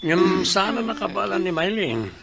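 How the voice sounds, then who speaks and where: Bright, high-voiced young Ilocano man. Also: big pitch swings, moderate pace, gravelly, exaggerated voice. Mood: concerned. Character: casual young male friend invited to a party. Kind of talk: Ilocano radio drama acting.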